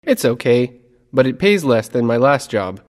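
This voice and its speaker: Man reading dialogue, lively intonation. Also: mid-range voice, moderate pace, long reverb tail. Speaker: dialogue reader. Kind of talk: scripted textbook dialogue reading.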